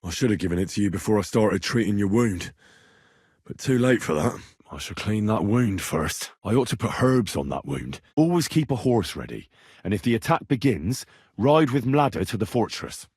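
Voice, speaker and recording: Earnest medieval healer, lively low-pitched delivery. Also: male voice, slightly rough, no room sound, clean studio sound, exaggerated voice. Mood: calm, advising. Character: earnest young medieval man tending the sick, reassuring and practical. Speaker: voice actor in a video game. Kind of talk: video game character dialogue.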